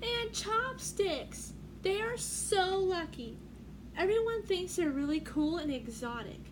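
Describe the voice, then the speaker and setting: Lady doing sad little kid spoon from a picture book, whiny about friends, high voice, noisy recording. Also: sing-song, slow pace, full character voice. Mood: envious. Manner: mopey, wistful complaining. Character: little boy spoon, feeling blue and envious. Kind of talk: children's picture book read-aloud. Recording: clearly noisy room background.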